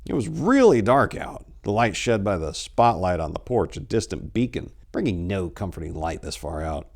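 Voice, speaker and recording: A man in his forties narrates a creepy tale in a fairly low voice. His delivery is theatrical and expressive, like a staged dramatic reading. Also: normal speed, gravelly. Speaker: story reader. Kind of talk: dramatic reading of a horror short story. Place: indoors, book-lined room. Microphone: lapel.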